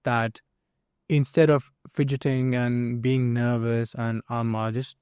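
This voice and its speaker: Young Hindi-speaking male podcast host born in India, smooth and resonant, earnest and conversational. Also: mid-range voice, level tone, slow pace, a little mellow, no echo, clean studio sound. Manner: earnest, asks for agreement, many fillers. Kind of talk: casual conversational podcast chat.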